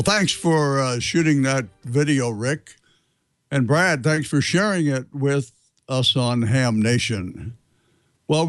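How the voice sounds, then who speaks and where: A man presents video segments as a show host in a fairly high voice. He talks slowly and casually, in a very dry, close sound. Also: dry recording.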